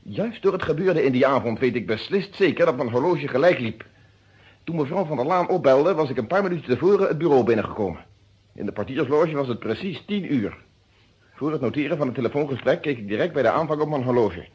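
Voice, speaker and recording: A man's voice: mid-range voice, animated, fast, darkish tone, breathy edge. A man playing a methodical, unyielding police inspector in a Dutch detective radio play, speaking in formal, precise phrases. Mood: firm. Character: methodical, unyielding police inspector questioning his own friend. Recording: dull lo-fi old radio recording.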